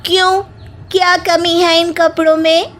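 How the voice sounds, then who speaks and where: High-pitched sassy young witch bride, played by a woman. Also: level tone, measured pace, a bit bright. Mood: defiant. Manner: cheeky, back-talking, theatrical cackling. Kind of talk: Hindi comic story acting.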